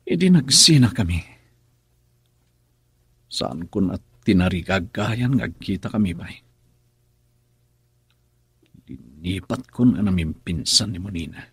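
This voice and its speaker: An Ilocano actor playing a brooding, regretful husband who drinks in a radio drama, with a gravelly, husky voice. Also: male voice, low voice, animated, a little slow, long pauses, fairly bright tone, no room sound, full character voice. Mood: brooding, wistful. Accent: Filipino, Ilocano. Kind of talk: Ilocano radio drama acting with inner monologue.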